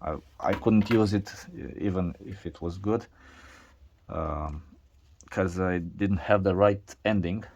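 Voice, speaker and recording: Non-native English man, slow and low-pitched, halting workshop vlog narration, shot on a camera mic. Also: darkish tone, muffled recording. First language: not English. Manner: halting, many restarts and fillers. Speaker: DIY video maker.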